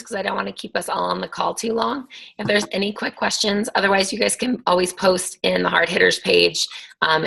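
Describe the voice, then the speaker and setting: A woman's voice: mid-range voice, creaky, fairly bright tone, husky. A woman coach hosting a video team call, talking in a chatty, encouraging way with lots of ums and likes. Her voice is scratchy. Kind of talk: casual motivational team call.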